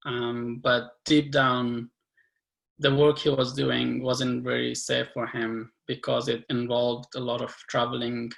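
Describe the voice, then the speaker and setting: A man in his twenties from Afghanistan, speaking earnestly and haltingly with many fillers on a video call. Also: medium pitch, little pitch movement, vocal fry, fairly bright tone, low-quality recording. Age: 20s. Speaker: guest speaker. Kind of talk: personal testimony on a webinar.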